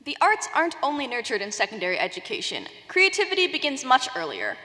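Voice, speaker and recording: A woman's voice: high-pitched, huge pitch swings, quick pace, sharp tone. A woman emceeing an event, reading a polished, enthusiastic introduction. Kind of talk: scripted event announcement. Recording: long reverb in a hall.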